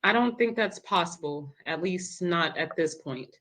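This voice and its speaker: A woman playing a patient but firm group counselor in a dramatic table read, measured and placating. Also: fairly low pitch, lively intonation, fairly bright tone. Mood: cautious.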